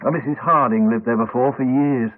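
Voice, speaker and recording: An actor playing an awkward, evasive, polite house agent with an English accent, hesitant and apologetic, in a radio drama. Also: male voice, mid-range voice, brisk, close to an everyday voice. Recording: dull, band-limited 1980s radio recording.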